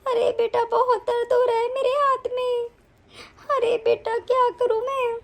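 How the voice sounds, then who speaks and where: A woman's voice: extremely high pitch, expressive, normal speed. A woman playing a spiteful, scheming, hot-tempered mother-in-law in a family melodrama, scolding and complaining. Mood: fake tearful complaint. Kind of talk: domestic family melodrama acting.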